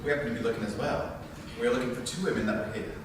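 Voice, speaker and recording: A man's voice: mid-range voice, racing, close to an everyday voice. A man acting a cold, sneering, commanding officer at a live script table read, with menacing mock politeness. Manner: menacing, mock-polite questioning and barked orders. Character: cold, sneering, commanding male officer hunting fugitive women. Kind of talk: live staged script reading. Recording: very echoey, distant, noisy room.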